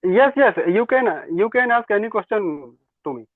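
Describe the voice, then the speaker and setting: A native Hindi speaker learning English, speaking in halting English and answering "yes, ma'am" again and again. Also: medium pitch, expressive, no room sound. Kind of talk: beginner conversation practice. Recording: tinny phone line.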